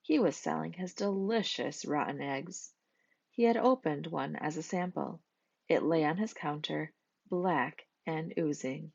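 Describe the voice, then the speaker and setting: A woman's voice: low-pitched, unhurried, creaky, a little mellow, no room sound, lo-fi sound. A woman reading a scripted children's story as its narrator. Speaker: storybook reader.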